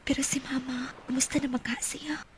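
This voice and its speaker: Naive young cebuano girl, radio drama, high voice, plaintive n earnest, bit of noise behind. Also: fairly bright tone. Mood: wistful, hushed. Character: earnest, naive teenage girl working as shop seller. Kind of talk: Bisaya radio drama acting.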